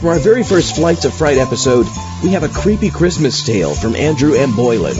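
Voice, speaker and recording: Male podcast host, animated intonation, introduction style, dull lo-fi recording, music behind. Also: mid-range voice, a little fast. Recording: muffled lo-fi, music underneath.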